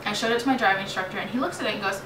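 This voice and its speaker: A young American woman in her late teens telling a story in a casual, animated, chatty vlog style. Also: mid-range voice, rapid-fire. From New York State, United States. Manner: animated, chatty, dramatizes remembered exchanges. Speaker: vlogger. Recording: roomy echo, distant mic, clearly noisy.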